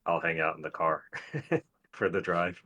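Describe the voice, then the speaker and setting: A man's voice: sits low, steady tone, measured pace, sharp tone. A man speaking casually as a player in a tabletop role-playing game.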